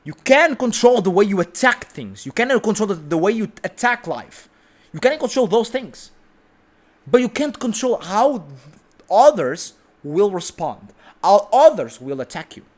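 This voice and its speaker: Lively high-voiced man. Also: moderate pace. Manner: emphatic, repeats himself, keeps asking "right?". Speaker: motivational speaker. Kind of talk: motivational monologue.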